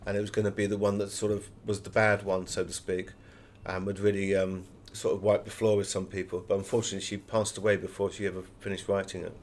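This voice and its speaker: A man's voice: deep voice, level tone, fast talker, few pauses, a little hiss or hum. A British man speaking as an interviewed author, telling anecdotes in a wry, chatty and emphatic way.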